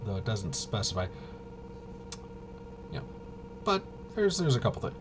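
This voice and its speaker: A man giving a casual, unscripted walkthrough as a host, rambling and halting, with muttered asides to himself. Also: on the low side, big pitch swings, noisy background. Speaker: host of a tabletop RPG review video.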